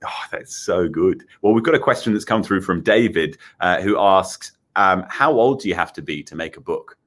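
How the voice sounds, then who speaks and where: A man's voice: low-pitched, animated, moderate pace, a bit bright. A man with an Australian accent hosting a children's show, interviewing in a casual, effusive and enthusiastic way. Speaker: host of a children's show, in character as a space captain.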